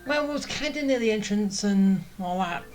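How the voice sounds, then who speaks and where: A woman's voice: mid-range voice, lively intonation, normal speed, darkish tone. Blunt woman casually commentating over game music. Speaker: gaming video host. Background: game music behind the voice.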